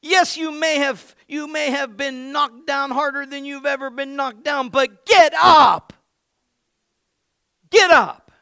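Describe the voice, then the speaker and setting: A man preaching with a high, bright, ringing voice that swings from playful joking to shouted exhortation. He plays to his congregation with an energetic, punchy delivery. The sound is close and dry. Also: takes it slowly, creaky, no room sound. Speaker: pastor. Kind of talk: energetic sermon.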